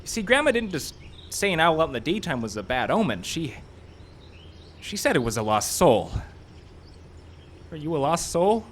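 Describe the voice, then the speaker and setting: Man acting jittery, rambling hapless filmmaker, wildly animated. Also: fairly high pitch, moderate pace, pauses often. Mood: uneasy. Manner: rambling, jittery, self-mocking. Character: hapless self-doubting male filmmaker digging up a grave. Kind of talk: live audio drama acting, rambling monologue. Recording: outdoor live stage, faint background noise.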